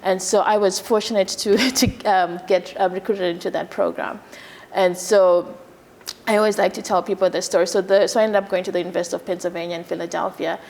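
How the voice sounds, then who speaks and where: A woman surgeon from Zimbabwe in her thirties, giving a TV interview in a soft, hesitant way with many fillers. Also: fairly low pitch, fairly even intonation, brisk, vocal fry. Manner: soft-spoken, many fillers, laughs easily. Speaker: gynecologic surgeon, guest.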